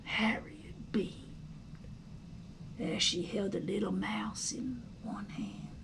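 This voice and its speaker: A woman reading a storybook aloud as narrator, in an expressive, dramatic style with a hushed storytelling manner. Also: mid-range voice, slow pace, creaky, whispered. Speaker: storybook reader.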